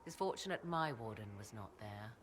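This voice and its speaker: A woman's voice: very deep voice, animated, normal speed, noisy background. An actress playing a proud, aloof female witch and mother in a fantasy video game cutscene. She speaks in formal, archaic diction with a haughty, dry manner.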